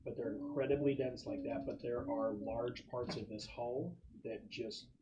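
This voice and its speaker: A man's voice: medium pitch, fairly even intonation, normal speed, muffled recording. Male game master, mid-range voice, casual improvised narration at a gaming table, faint noise behind.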